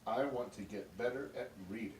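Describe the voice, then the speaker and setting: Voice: medium pitch, brisk, lightly acted. A performer playing a polite, hesitant young male student in an amateur puppet show, giving short, simple answers. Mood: hesitant, earnest. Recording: roomy, distant mic with faint noise.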